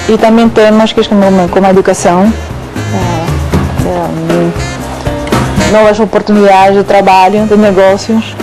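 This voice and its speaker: A woman's voice: mid-range voice, poor audio. Woman, hesitant, many fillers, music bed underneath. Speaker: newspaper staff member. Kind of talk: interview answers.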